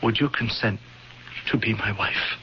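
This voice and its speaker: Radio play casting: man playing courtly villainous high priest, smooth false sympathy, low register, dull old broadcast sound. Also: a little hiss or hum, natural voice. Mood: insinuating, ardent. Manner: measured, courtly, formal. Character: stately, scheming dark-robed priest, smoothly feigning sorrow. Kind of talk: classic radio drama acting.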